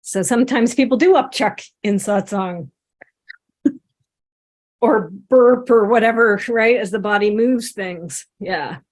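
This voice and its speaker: Gentle woman guiding self-inquiry. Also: mid-range voice, takes it slowly. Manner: gentle, encouraging, drawing while talking. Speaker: satsang facilitator and coach. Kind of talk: guided self-inquiry session over video call.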